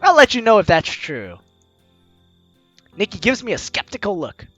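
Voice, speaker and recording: A video game host with an animated, teasing, exclamatory way of talking, reading aloud and commenting playfully. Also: medium pitch, normal speed, lo-fi sound, soft background music. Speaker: let's-play video host.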